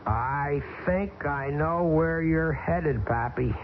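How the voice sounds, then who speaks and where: A man's voice: on the high side, slow pace. An actor playing a bewildered, skeptical man who stammers and questions everything in an old radio drama. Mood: alarmed. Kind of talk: 1970s radio drama acting. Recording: tinny old radio broadcast with hiss.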